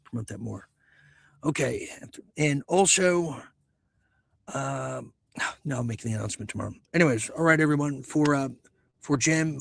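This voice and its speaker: A male talk show host with a husky, creaky voice that is wearing out, recorded close and dry. He rambles in a casual, musing way, halting often as he goes. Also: mid-range voice, no room sound. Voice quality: husky, gravelly voice. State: losing his voice.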